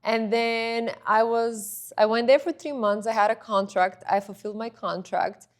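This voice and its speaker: A woman's voice: fairly high pitch, steady tone, a little slow. A woman, a guest in an interview, telling her story in a chatty, rambling way. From Bulgaria.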